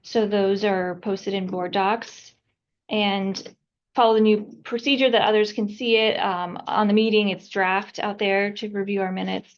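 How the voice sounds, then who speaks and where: Halting woman chairing a video-call meeting. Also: medium pitch, little pitch movement. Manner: halting, with many fillers. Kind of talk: running a board meeting. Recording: dull video-call audio.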